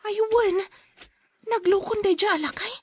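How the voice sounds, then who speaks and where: A woman's voice: very high voice, normal speed. A woman playing a helpful younger niece in a radio drama, with an Ilocano accent. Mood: concerned. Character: younger niece Jenny, helpful companion to her aunt.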